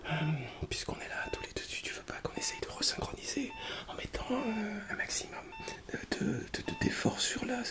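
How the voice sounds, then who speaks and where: A man playing a calm, reassuring doctor in a soft-spoken, hesitant French ASMR medical roleplay. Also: very high voice, a little fast, heavily acted. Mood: worried. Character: calm, reassuring male doctor treating a strange sensory illness.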